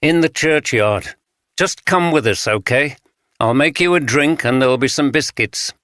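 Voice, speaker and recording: A man's voice: mid-range voice, animated, moderate pace, a bit bright, dry recording, clean studio sound, voice pushed up, lightly acted. A man voicing a friendly detective sergeant coaxing a wary witness. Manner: coaxing, reassuring.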